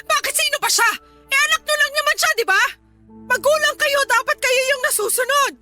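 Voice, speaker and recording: Very high-voiced, hurt Filipino daughter. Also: female voice, a little fast, pushed and bright, faint music behind, heavily acted. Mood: reproachful. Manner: emotional, reproachful. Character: hurt, resentful grown daughter, dutiful family breadwinner. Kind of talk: Filipino radio drama acting.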